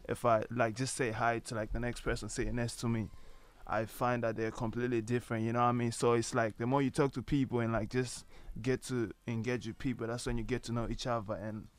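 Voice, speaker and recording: A man's voice: mid-range voice, level tone, a little fast. A young man from Malawi, a musician, answering radio interview questions in a laid-back way, full of "like" and "you know what I mean". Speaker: guest, musician. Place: radio studio.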